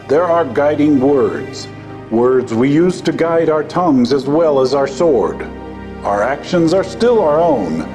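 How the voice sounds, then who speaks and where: A man's voice: mid-range voice, natural voice. A man voicing a solemn knight who swears in a new squire in an audio drama. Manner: slow, solemn oath-giving.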